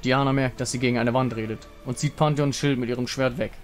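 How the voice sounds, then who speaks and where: A man's voice: mid-range voice, steady tone, quick pace. A man narrating a fantasy game story in a dramatic scripted style. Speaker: narrator reading visual novel dialogue. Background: music.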